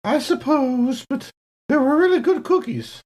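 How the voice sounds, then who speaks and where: A woman voicing a chatty, cookie-loving lunch lady with a wistful, fussy, drawn-out way of talking. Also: high voice, darkish tone.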